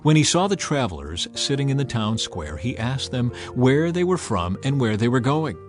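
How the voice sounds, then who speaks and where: A man narrates a dramatized scripture audiobook at a middling male pitch. His storytelling is measured and dramatic, swelling for emphasis, and music plays beneath him. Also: big pitch swings. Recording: music bed under the voice.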